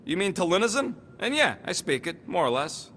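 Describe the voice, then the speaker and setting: A cocky little bounty hunter creature in a video game. He is irritable and slangy, with a sharp, forceful edge and pitch that jumps around a lot. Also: sing-song, brisk, slight background noise, exaggerated voice. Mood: grudging, boastful. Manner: brash, dismissive, slangy street talk.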